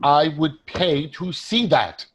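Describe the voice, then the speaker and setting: An actor in a staged play reading, playing a sardonic, exasperated ghost of a male friend who pushes but cares, with a husky voice. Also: on the high side, expressive, slow pace, slight background noise. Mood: sardonic, urging.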